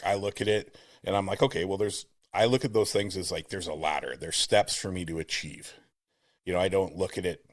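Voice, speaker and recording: Deep-voiced man hosting a podcast. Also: no echo, studio quality. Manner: earnest, upbeat, rambling. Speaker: podcast host. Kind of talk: casual conversational interview. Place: home room. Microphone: studio mic with compressor, at home.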